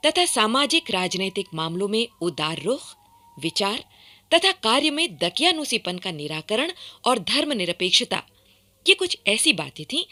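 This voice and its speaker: Bright, expressive woman narrating formally. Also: mid-range voice. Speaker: radio narrator. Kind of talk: scripted documentary narration for radio drama.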